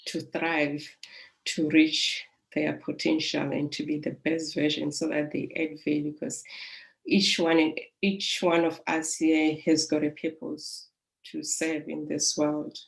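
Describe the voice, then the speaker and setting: A middle-aged woman with a South African accent speaks with a calm, soothing voice. Her voice sits low with a gravelly texture and stays flat and even in pitch. She talks in a reflective, unhurried way as a life coach telling her story. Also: poor audio. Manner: reflective, unhurried, with soft laughs. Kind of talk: personal storytelling.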